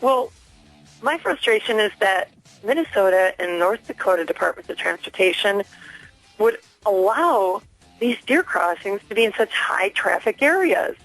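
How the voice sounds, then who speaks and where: A woman's voice: mid-range voice, lively intonation, moderate pace, fairly bright tone, dry recording, soft background music. A woman phoning a radio station as a listener, making an earnest, insistent complaint in a hesitant, spontaneous way. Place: phone call into a radio station. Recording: dry telephone line to radio studio.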